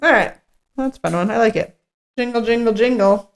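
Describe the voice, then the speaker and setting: A woman's voice: fairly high pitch, a little fast. A woman co-hosting a podcast in casual chat, with a playful, jokey manner, sometimes reading from notes. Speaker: podcast co-host.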